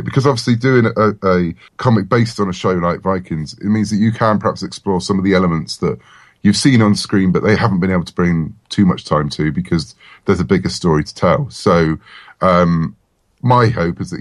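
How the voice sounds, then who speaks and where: Chatty man with a lowish voice. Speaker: interview guest, comic writer. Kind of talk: casual interview chat.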